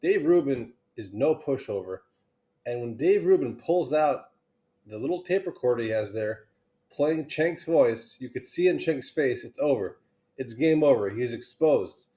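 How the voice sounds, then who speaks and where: A man's voice: medium pitch, lively intonation, measured pace, mellow. A man doing punchy hype commentary as he introduces a clip.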